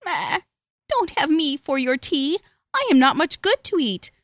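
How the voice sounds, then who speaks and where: Woman doing a high, sweet little goat. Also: big pitch swings, moderate pace, fairly bright tone, no room sound, studio quality. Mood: sweetly coaxing. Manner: sweet, bleating, chirpy. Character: small young male goat, sweet and crafty.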